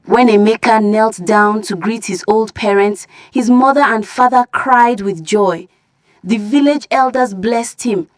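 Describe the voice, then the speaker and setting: A woman's voice: on the high side, measured pace, dry recording. A woman narrating a scripted folktale as a storyteller.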